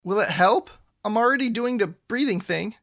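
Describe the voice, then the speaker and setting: Troubled supernatural misfit for audio drama: heavy vocal fry, wry, awkward, conversational. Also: male voice, high-pitched, lively intonation, normal speed, a bit bright, full character voice. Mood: uneasy. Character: troubled, awkward, wry man cursed with antlers.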